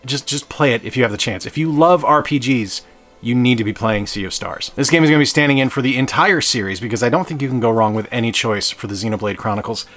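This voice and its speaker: A man's voice: medium pitch, fast, fairly bright tone. A man hosting a game channel, talking about games in an upbeat, enthusiastic way. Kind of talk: casual game review talk.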